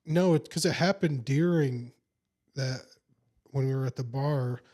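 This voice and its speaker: Male comedy podcast co-host, relaxed dark timbre, easygoing filler-heavy banter. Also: mid-range voice, measured pace. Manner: laid-back, filler-heavy, wisecracking. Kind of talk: casual comedy chat.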